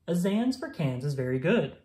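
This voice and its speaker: A woman reading a rhyming children's picture book aloud in a playful, sing-song, expressive manner. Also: low voice, moderate pace.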